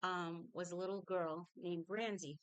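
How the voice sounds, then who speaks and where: A middle-aged woman from New York telling her childhood story, halting and reflective, with frequent fillers. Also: fairly low pitch, steady tone, smooth, dry recording, highs cut off. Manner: reflective, halting with fillers, laughs through painful memories. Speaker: singer. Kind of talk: personal storytelling.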